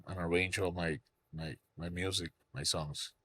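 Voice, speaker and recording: Cuban-accented man, low voice. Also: steady tone, unhurried, a bit bright, slightly rough. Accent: Cuban Spanish. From Cuba. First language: Spanish. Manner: rambling, many "you know, like" fillers. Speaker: guest songwriter. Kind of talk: casual interview chat.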